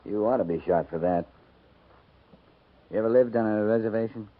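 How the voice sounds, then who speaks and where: A man acting out a gruff cavalry captain in an old radio play, his voice on the low side and his delivery a dry, quiet drawl. The sound is narrow and tinny, like a vintage broadcast over a phone-like line. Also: moderate pace, lightly acted. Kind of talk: 1950s radio drama acting.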